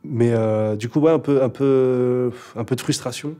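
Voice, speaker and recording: A man's voice: medium pitch, a little slow, dark tone. A young man, a rapper, chatting casually in an interview, laid-back and hesitant, with many fillers and restarts. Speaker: rapper guest.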